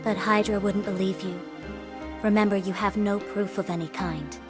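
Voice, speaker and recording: A woman's voice: medium pitch, steady tone, normal speed, music in the background, close to an everyday voice. A woman voicing a composed, serious intelligence agent in a dramatic video game.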